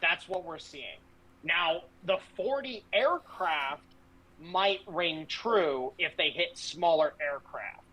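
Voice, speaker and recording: A male podcast host with a high-pitched, bright and forceful voice. He talks casually about politics, blunt and brash, with plenty of swearing thrown in. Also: animated, slow pace, a little hiss or hum, low-quality recording.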